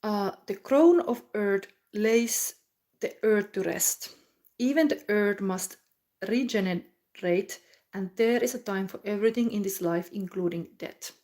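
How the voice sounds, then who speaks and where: The Finnish woman you would find in an online tarot video, thinking aloud card by card, groping for words in halting second-language English. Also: mid-range voice, darkish tone. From Finland. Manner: rambling, searches for words, halting non-native English. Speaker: tarot reader hosting a psychic reading. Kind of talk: casual spontaneous tarot reading to viewers.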